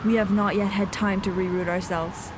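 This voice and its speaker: Woman playing a measured, even-toned ship's captain. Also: medium pitch, background noise, close to an everyday voice. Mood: grave. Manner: measured, formal. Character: grave ship's captain writing an 1860s log. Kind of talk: scripted audio drama narration. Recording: music under the voice.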